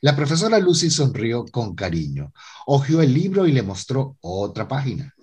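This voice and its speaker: A man's voice: mid-range voice, sing-song, moderate pace, a little mellow, husky. A man narrating a scripted radio drama as its storyteller.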